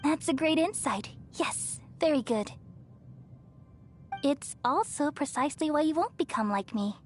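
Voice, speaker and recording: Serene goddess, high-pitched, gentle, measured, reassuring, video game dialogue, soft background music. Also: female voice, animated. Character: serene, wise female deity, remnant consciousness of a dead ruler. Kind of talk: scripted video game voice acting.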